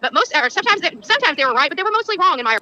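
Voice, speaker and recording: A woman's voice: very high-pitched, very animated, rapid-fire, bright, forceful tone, highs cut off. A woman in an impassioned livestream rant, speaking with indignant, emphatic delivery.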